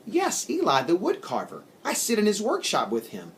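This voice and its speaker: Male reader as breezy wooden puppet girl for a storybook: self-assured, carefree, matter-of-fact, lively pitch movement. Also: normal speed, a little hiss or hum, full character voice. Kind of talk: storybook character reading.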